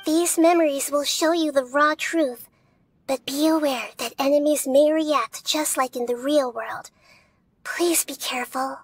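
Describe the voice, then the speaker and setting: A woman voicing a small, wise child goddess in a video game, soft, girlish, measured and polite. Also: high-pitched. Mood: calm, explaining.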